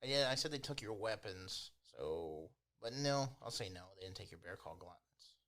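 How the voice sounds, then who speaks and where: A man's voice: low voice, lively intonation, moderate pace, a bit bright. A man running a tabletop game as game master, narrating in an informal, improvised, chatty way. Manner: informal, chuckles while narrating.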